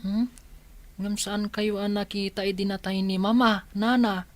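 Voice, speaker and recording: An actress playing a polite, timid orphaned child with a plaintive manner in an Ilocano radio drama. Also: female voice, medium pitch, fairly even intonation, measured pace, close to an everyday voice.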